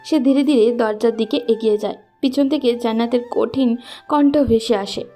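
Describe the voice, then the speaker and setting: Casting: female storyteller for romance audio, high but mellow voice, gentle music bed. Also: steady tone, normal speed. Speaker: narrator. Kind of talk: scripted romantic story narration.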